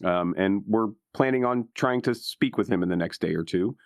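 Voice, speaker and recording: A man's voice: fairly low pitch, normal speed, dry recording, studio quality. A man taking part in a tabletop roleplaying game, talking casually as a player and in character. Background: soft guitar music.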